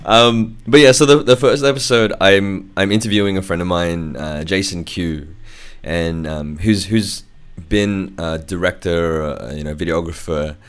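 A man, husky from a cold, talking as a podcast guest with many fillers and restarts. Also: low voice, measured pace, few pauses. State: has a cold. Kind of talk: casual podcast chat.

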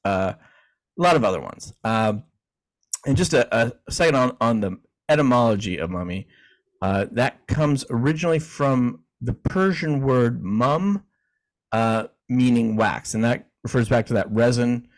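A man co-hosting a podcast in a relaxed, chatty way, reading definitions aloud and chuckling now and then. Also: mid-range voice, measured pace, poor audio. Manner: easygoing, chuckling, frequent restarts. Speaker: podcast co-host.

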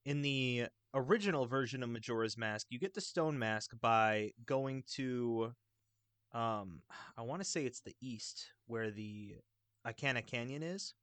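A man chatting casually about video games in a rambling, halting, enthusiastic way. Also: mid-range voice, a bit bright, no room sound. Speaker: video game podcast panelist.

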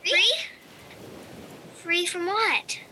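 Woman acting a gentle, very high-voiced mermaid. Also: very slow, slight background noise, exaggerated voice. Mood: gentle, reassuring. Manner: soothing, calm. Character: Becca, gentle reassuring young mermaid. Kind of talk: audio drama acting.

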